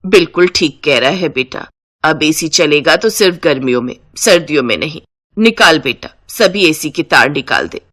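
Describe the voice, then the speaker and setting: A woman's voice: low voice, expressive, moderate pace, a bit bright, pitched below the performer's own voice. A woman voicing an older mother-in-law in a family cartoon, speaking as the elder of the house. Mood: firm.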